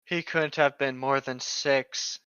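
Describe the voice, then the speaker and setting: A man's voice: medium pitch, fairly even intonation, slow, sharp tone, smooth, dry recording, lo-fi sound. A man co-hosting a comedy podcast, casually riffing on horror stories with mocking, exclamatory, profane remarks. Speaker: podcast co-host.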